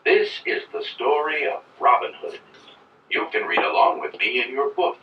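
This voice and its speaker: Animated male storybook narrator, echoey old record. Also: mid-range voice, moderate pace. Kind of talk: scripted children's read-along narration. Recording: dull, echoey old record, faint noise, distant.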